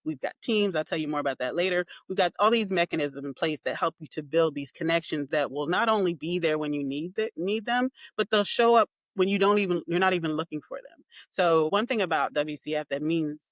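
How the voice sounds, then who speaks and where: A woman guest speaking with warm, heartfelt enthusiasm in a casual interview. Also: low voice, a little fast, hardly any pauses, dry recording, dull audio.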